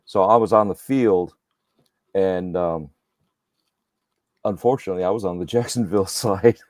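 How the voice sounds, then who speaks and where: A man with a deep, radio-ready voice trades teasing banter as a podcast co-host. He tells stories in an easygoing, relaxed way. The sound is dry and close, with no room around it. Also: normal speed, dry recording. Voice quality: radio-style voice. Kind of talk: casual chat.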